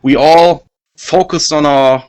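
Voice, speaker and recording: A man's voice: fairly high pitch, fairly even intonation, darkish tone, smooth. Take your time and stumble a little, a man searching for words about his band. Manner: hesitant, halting, many fillers. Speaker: band singer and guitarist. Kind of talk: casual interview.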